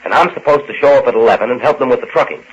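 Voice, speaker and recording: An actor in an old-time radio drama playing an eager, casual informant on a muffled recording. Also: male voice, mid-range voice, animated, racing, husky. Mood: uncertain. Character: eager married male informant, cocky but nervous.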